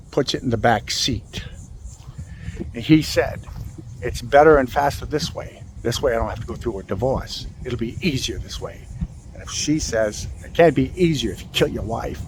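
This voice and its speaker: Male vlog host, mid-pitched, dramatic and halting true crime storyteller, recorded outdoors with traffic-like noise behind. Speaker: host of a cemetery exploring channel. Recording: clear vehicle and ambient noise.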